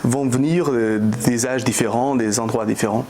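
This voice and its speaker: A man's voice: mid-range voice, fairly even intonation, normal speed, a little mellow, slight background noise. A man in his thirties, a startup CEO from Portugal, answering interview questions in a hesitant way with a Portuguese accent and many restarts. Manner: hesitant, many fillers and restarts, non-native phrasing.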